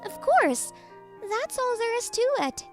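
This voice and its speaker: A bossy, teasing young lady boss from a manga dub played by a woman. Her voice is extremely high and leaps around in pitch as she needles and gives orders. Light music runs behind her. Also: very animated, unhurried, heavily acted. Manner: commanding, needling. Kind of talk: anime-style manga dub acting.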